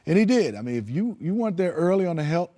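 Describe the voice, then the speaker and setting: A man's voice: high-pitched, sing-song, fast, warm tone, no room sound, clean studio sound. A man, a former player, giving casual, emphatic sports analysis.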